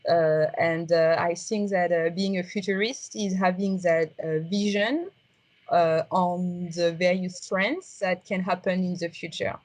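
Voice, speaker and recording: A woman with a strong French accent talks through her answers in a somewhat low voice, stopping often with ums and uhs as she searches for words. The sound is dry and close, with no room echo. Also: fairly even intonation, a little mellow. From France. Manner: halting, many fillers and restarts. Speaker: interviewee. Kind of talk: reflective conversational interview.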